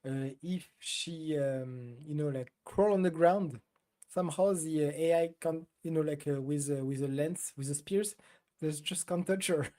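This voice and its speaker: A woman's voice: deep voice, unhurried, mellow. A middle-aged woman co-hosting a casual gaming podcast, talking loosely with many fillers and hesitations. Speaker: podcast co-host.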